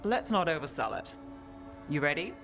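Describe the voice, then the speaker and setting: A tough, cynical woman detective in a fantasy video game. Her speech is firm, clipped and understated, with music clearly underneath. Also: sits low, very animated, moderate pace. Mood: dry, assured. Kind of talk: dramatic game acting.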